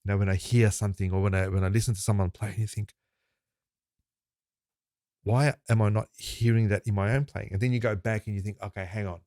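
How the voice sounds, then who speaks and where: Low-voiced man hosting, rambling. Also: fairly even intonation, a little fast, warm tone, no room sound, studio quality. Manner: rambling, repeats words while thinking aloud. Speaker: podcast host. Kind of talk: podcast conversation.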